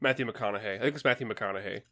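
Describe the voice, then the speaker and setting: Very bright, sharp-voiced wisecracking man. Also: fairly low pitch, racing, dry recording, clean studio sound. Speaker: podcast co-host. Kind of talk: casual banter.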